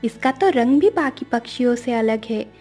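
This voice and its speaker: A woman's voice: on the high side, animated, moderate pace, mellow. A woman voicing a sweet, playful young princess in a cartoon story. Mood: admiring. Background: music.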